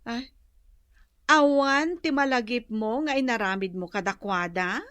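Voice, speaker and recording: Sharp-tongued Ilocano scold, radio comedy drama, high piercing voice, dry studio sound. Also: female voice, a little slow, no room sound. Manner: scolding, exclamatory.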